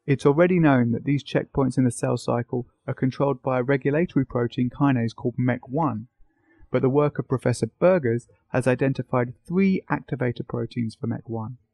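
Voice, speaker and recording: A man's voice: medium pitch, a little fast, creaky, darkish tone, no echo. A man narrating a science video from a script as interviewer and presenter.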